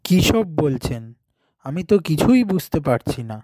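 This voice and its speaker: A man's voice: on the high side, sing-song, unhurried, warm tone, no room sound. A man playing a bewildered young heir of a landlord family in a horror cartoon. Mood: confused, alarmed.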